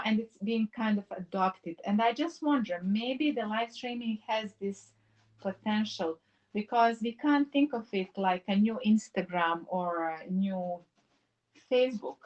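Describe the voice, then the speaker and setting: Brief: woman, reflective live-stream painter, rambling thoughtful delivery, dull lo-fi stream audio. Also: a little high. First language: Serbo-Croatian. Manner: musing, reflective, wandering sentences with many pauses. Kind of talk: casual live-stream chat while painting. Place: home in the mountains.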